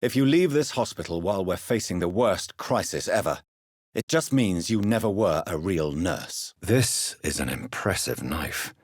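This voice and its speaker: A man playing an earnest, stern gentleman doctor of early twentieth-century England, secretly a vampire, in a video game, with formal, measured, intense diction. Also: sits low, lively intonation, slightly rough, no room sound, studio quality. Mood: stern, indignant. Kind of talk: dramatic video game voice acting.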